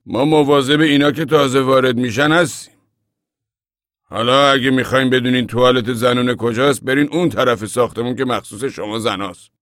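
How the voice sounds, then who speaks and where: A man voicing a gruff, self-important older man in an audiobook story, with a sharp and surly manner. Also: mid-range voice, lively intonation, no room sound, studio quality, voice pushed up, close to an everyday voice. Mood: proud, helpful. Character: gruff, proud older man, curt camp regular.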